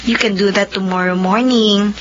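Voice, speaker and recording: An actress playing a chatty, worldly office friend in a radio drama, teasing and persuasive. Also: female voice, mid-range voice, fairly even intonation, normal speed, highs cut off, a little hiss or hum, lightly acted. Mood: teasing, upbeat.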